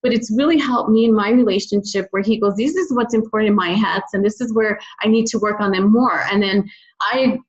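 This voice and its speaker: A woman's voice: medium pitch, brisk, muffled recording. A woman speaking as a podcast guest, reflecting in an earnest, encouraging, conversational way. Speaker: podcast guest, children's book author. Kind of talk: conversational interview, personal reflection.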